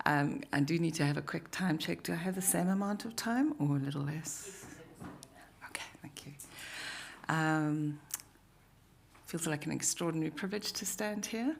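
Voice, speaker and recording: Gravelly South African woman facilitator. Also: low-pitched, moderate pace, slight background noise. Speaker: workshop facilitator. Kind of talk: live workshop facilitation. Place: university meeting room.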